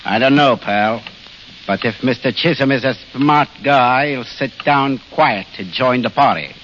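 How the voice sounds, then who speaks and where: Casting: male actor as menacing gang boss, forceful, clipped and slangy, vintage radio drama, muffled hissy recording. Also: medium pitch, unhurried, natural voice. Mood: gruff. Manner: curt, slangy, commanding. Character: tough, menacing gangster boss in command. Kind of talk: 1930s-40s radio drama acting.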